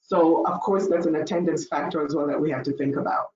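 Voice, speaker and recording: A woman who is a deputy commissioner, giving a thoughtful policy update in an online meeting, often pausing with "uh". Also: low-pitched, fairly even intonation, darkish tone, roomy sound, muffled recording. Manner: thoughtful, with frequent hesitations.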